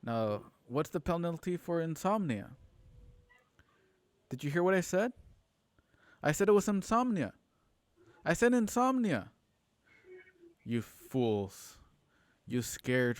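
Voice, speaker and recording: A man's voice: fairly high pitch, no echo. An actor as a cornered suburban man in a radio play, indignant and defiant. He repeats himself with accusing emphasis, in a dry recording with little room sound. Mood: incredulous, mocking. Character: indignant, cornered suburban man defying his frightened neighbours. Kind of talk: radio drama acting.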